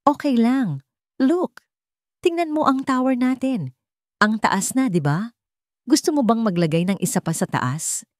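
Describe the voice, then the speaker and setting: A woman voicing a gentle, loving young housemaid in a story, soft-spoken and encouraging as she coaxes a small child. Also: on the high side, animated, unhurried, long pauses, dry recording, clean studio sound, voice pushed up. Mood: tender, sweet.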